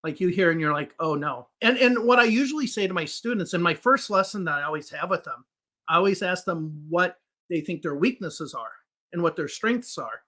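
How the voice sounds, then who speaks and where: A man's voice: high voice, expressive, brisk, sharp tone. A man who teaches English, answering interview questions on a podcast in a thoughtful, explaining way. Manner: explanatory, thinks aloud with restarts.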